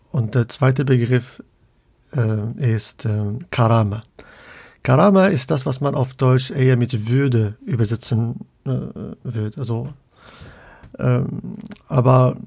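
A man's voice: mid-range voice, creaky, warm tone, no echo, studio quality. A man from Morocco, a guest scholar of Islamic theology in a podcast interview, speaking hesitantly with many fillers and restarts.

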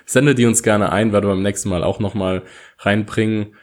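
A man's voice: lowish voice, fairly even intonation, brisk. A man co-hosting a podcast, talking about his views in an informal, conversational way. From Saarland, Germany. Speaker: podcast co-host. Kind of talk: casual political podcast talk.

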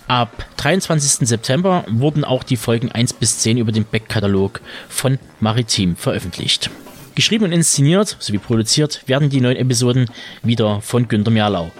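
Male podcast host, medium pitch, scripted delivery, light music bed. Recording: music under the voice.

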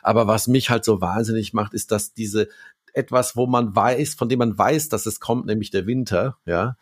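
Low-voiced, hesitant man in a dry room. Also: moderate pace, dry recording. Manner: hesitant, many fillers and restarts, drops to muttering when frustrated. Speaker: podcast co-host. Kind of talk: casual conversation.

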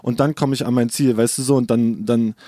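A young man talks fast and loosely in an interview, full of street slang and restarts. The sound is dry and close. He rambles through his answers without pausing. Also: medium pitch, fairly even intonation, mellow, no room sound. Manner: slangy, rambling, says "Digga" a lot. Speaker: rapper and producer. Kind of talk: casual interview chat.

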